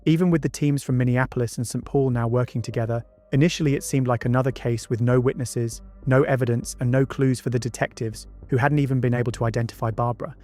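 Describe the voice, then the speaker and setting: A man reads scripted true-crime documentary narration in a measured storytelling manner. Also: mid-range voice, fairly even intonation, mellow. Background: background music.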